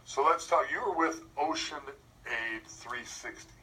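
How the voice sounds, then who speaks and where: Bright-voiced man hosting radio, a bit distant. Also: fairly high pitch, measured pace. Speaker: radio host. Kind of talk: casual radio interview.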